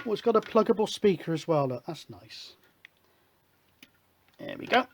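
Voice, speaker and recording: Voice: mid-range voice, big pitch swings, normal speed, vocal fry, slight background noise. A hobbyist presenter with a mid-range voice talks through a technical demonstration. The delivery is casual and chatty, like someone working things out aloud at the bench. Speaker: hobbyist radio show host. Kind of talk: informal hands-on technical demonstration.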